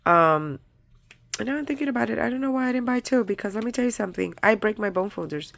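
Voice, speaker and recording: Woman, nasal from a head cold, rambling casual haul chat, bright tone. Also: on the high side, animated, fast, creaky. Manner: chatty, rambling with many ums and likes. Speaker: crafting channel host.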